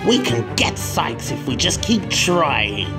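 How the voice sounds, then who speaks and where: Guy doing a nervous son in a comic drama, tentative, slow, music under him. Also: mid-range voice, very animated. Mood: hesitant, questioning. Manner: hesitant, tentative. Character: anxious, questioning adult son of a domineering father. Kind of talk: dramatic comic-book dub acting. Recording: music behind the voice.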